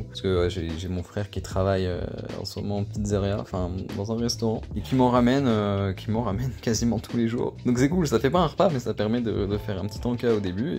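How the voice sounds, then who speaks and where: Chatty young man with a cold. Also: fairly low pitch. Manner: chatty, loose, swears casually, many fillers. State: has a head cold. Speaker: vlogger, entrepreneur. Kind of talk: casual vlog chat.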